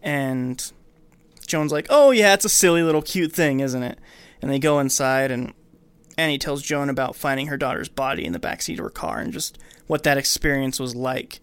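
A man's voice: medium pitch, normal speed, smooth, no echo. A man co-hosting a casual discussion, retelling a film step by step. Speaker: podcast co-host.

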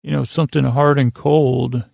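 Gravelly man rambling through a bedtime monologue. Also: medium pitch, steady tone, dry recording, clean studio sound. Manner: halting and meandering, with stammers, repeats and long pauses; gently whimsical, wandering off on tangents. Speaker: podcast host. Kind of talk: rambling bedtime monologue to lull listeners to sleep.

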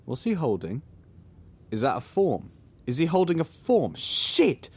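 A woman's voice: deep voice, huge pitch swings, unhurried, a little mellow, slightly rough, full character voice. A woman playing an exasperated, paranoid, foul-mouthed housemate in an audio sitcom, blunt and agitated. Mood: paranoid, alarmed. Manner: blunt, sweary, incredulous, agitated.

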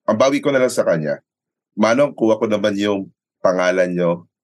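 Deep-voiced Filipino man, casually telling stories. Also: a little fast, dry recording, clean studio sound. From Philippines. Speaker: sports announcer and radio commentator, interview guest. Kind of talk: casual conversational storytelling, mixing Tagalog and English.

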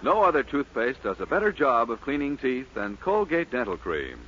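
A man's voice: mid-range voice, very animated, normal speed, a bit bright, slight background noise. A man with an American accent reading a persuasive, emphatic 1940s radio commercial on an old lo-fi recording. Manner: emphatic, persuasive salesman delivery. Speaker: commercial announcer.

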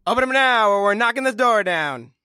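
An actor playing a suspicious police officer in a comedy. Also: male voice, high-pitched, moderate pace, sharp tone, slight background noise. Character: suspicious, gruff-mannered male police officer. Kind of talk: comic audio drama acting.

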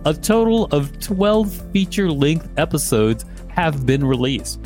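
A man's voice: a little high, very animated, a little slow, a little mellow, faint music behind. A man co-hosting a podcast, reading an article aloud in a casual way and breaking in with sarcastic asides. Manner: wry, interrupts the text with sarcastic asides.

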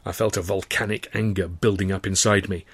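Low-voiced man narrating a story dramatically. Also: brisk, slightly rough, no room sound. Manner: expressive, short clipped phrases. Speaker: author reading his own novel. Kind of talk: dramatic first-person audiobook narration.

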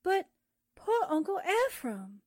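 A woman's voice: high-pitched, sing-song, slow pace, darkish tone, no room sound, clean studio sound, heavily acted. A woman playing a dreamy, gullible young secretary in an old-time radio drama, with a gushing, wistful and naive manner. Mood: gushing, tearful.

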